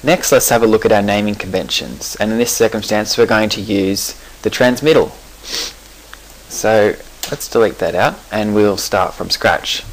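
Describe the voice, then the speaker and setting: A man talking through a software settings screen in a relaxed, explanatory way, pausing with fillers and correcting himself. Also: lowish voice, slight background noise, rough recording. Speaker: software tutorial presenter.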